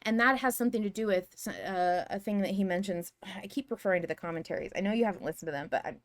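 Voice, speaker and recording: A woman's voice: medium pitch, quick pace. A woman hosting a podcast, talking through fan analysis casually in an earnest, emphatic, argumentative manner. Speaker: podcast host.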